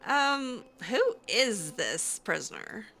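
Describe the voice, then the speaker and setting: A woman gamer improvising in character in a casual, hesitant, halting way as she plays. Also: a little high, sing-song, pushed and bright. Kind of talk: improvised casual roleplay commentary over a game.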